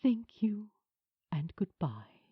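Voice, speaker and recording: A woman plays a kind man who hosts children's television, gentle and earnest. The voice is husky and breathy, taking its time over each tender phrase. The sound is narrow and phone-like, like an audiobook heard down a line. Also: mid-range voice, sing-song, takes it slowly, no echo, heavily acted. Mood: tender, emotional. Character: gentle, kind male children's TV host saying a tearful farewell. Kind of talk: audiobook character acting.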